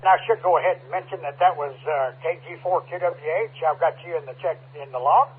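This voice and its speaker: A man's voice: a little high, quick pace, background noise. A man running an amateur radio check-in net in a folksy, casual way, often repeating and correcting himself. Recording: narrow telephone-like ham radio audio.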